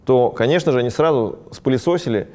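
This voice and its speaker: A man who runs a ski resort business, speaking casually in an interview, talking fast with many fillers. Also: medium pitch, darkish tone.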